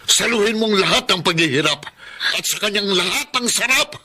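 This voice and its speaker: A man's voice: high-pitched, expressive, moderate pace, sharp tone, full character voice. A man with a Filipino accent playing a protective, exasperated older father who scolds emphatically in a radio drama. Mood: exasperated scolding.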